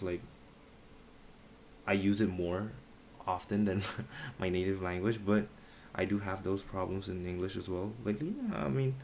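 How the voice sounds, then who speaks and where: Low-voiced young man, halting stream chat. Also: a little mellow, slight background noise. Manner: halting, searching for words, slangy. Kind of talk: casual live stream chat.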